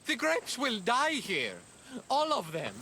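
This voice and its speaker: Exasperated farmhand, high voice. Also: male voice, huge pitch swings, slow pace, sharp tone, slight background noise, heavily acted. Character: exasperated male farmhand arguing with his boss. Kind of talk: video game cutscene acting.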